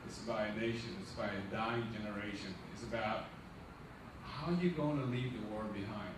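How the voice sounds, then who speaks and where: A middle-aged man delivers a rousing, sermon-like speech, tossing questions at the audience and climbing to loud, emphatic peaks. His voice is caught from a distance in a big hall that rings with echo. A steady hiss of noise sits underneath. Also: mid-range voice. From Liberia. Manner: preacherly, asks the crowd questions and rises to loud emphatic peaks. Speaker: nonprofit founder giving a launch speech. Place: large echoey event hall. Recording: echoey hall, distant mic, noisy.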